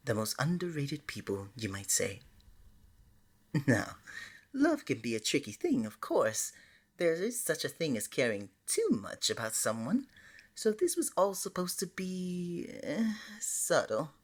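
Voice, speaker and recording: A flirty, easily flustered god of love voiced by a woman for an ASMR romance roleplay. The voice sits low and swings freely up and down, with a husky breathiness that slips toward a near-whisper. The manner is playful, coaxing and openly affectionate. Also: heavily acted. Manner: coaxing, playful, pet names like sweetie and honey.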